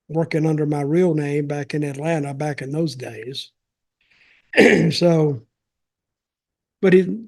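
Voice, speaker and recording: An older man speaks in a somewhat high-set voice, spinning stories casually. His delivery wanders along in a folksy, wry fashion, like a veteran recalling old days on a podcast. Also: a little mellow. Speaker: podcast host, former wrestling performer. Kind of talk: casual anecdotal storytelling.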